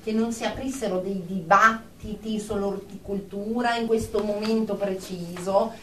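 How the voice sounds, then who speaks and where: An actress playing a stern, exasperated group therapist, icily formal and sarcastic, in a comic radio drama. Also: female voice, mid-range voice, fairly even intonation, normal speed, bright, forceful tone, a little hiss or hum, close to an everyday voice. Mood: coldly formal. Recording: echoey room, distant mic.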